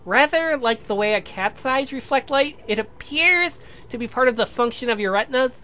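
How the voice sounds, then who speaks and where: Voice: mid-range voice, lively intonation, moderate pace, sharp tone. A performer voicing a patient young male scientist in a visual novel, explaining things in a formal, precise, pedantic way. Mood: clinical.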